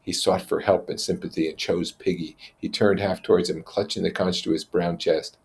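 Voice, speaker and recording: A man's voice: low-pitched, fairly even intonation, moderate pace. A man reading a novel aloud as narrator and commentator, in an explanatory style. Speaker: reader and commentator.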